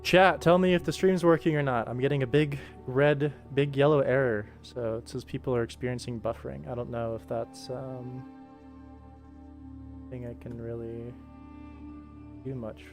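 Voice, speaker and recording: Male American streamer, mid-pitched, informal work-along chat, music in the background. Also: fairly even intonation, normal speed. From United States. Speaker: livestreamer and animator. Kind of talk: casual livestream chat while working.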